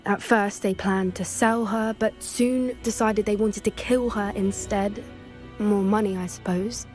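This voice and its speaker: An actress playing an earnest young female student who loves magical creatures, speaking in a soft, thoughtful, sometimes hesitant way in video game dialogue. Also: mid-range voice, fairly even intonation, measured pace, soft background music, natural voice. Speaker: game character.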